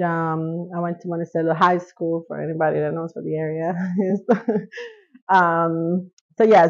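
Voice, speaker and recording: Low gravelly woman from Costa Rica. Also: a little mellow, muffled recording. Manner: chatty, laughs often, trails off. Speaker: podcast guest. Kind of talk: casual interview. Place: living room, sitting on a couch.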